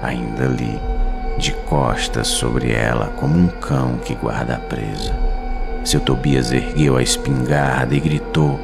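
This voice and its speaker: An extremely low, gravelly male voice with a Brazilian accent narrates a horror tale. He is solemn and slow, weighting short phrases for suspense over clear background music. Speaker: storyteller. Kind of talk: dramatic scripted horror narration.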